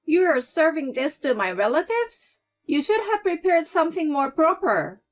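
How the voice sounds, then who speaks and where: A woman voicing a spiteful older mother-in-law who scolds in a shrill, loud voice. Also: expressive, slow pace, soft background music. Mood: scolding.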